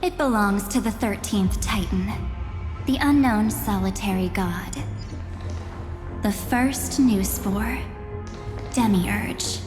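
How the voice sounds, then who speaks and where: An actress voicing a calm, mysterious young woman in a video game, speaking deliberately with theatrical, mocking condescension. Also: medium pitch, lightly acted. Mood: solemn, cryptic. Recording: echoey reverberant hall, music underneath.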